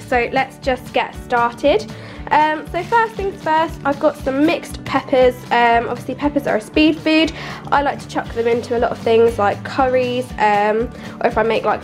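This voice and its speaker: A pregnant young woman with a stuffy cold, chatting casually to camera in her small flat about groceries, with lots of ums and little laughs. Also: sits high. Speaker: lifestyle vlogger. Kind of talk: casual haul vlog to camera. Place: small flat, indoors at a table. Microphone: camera mic at close range.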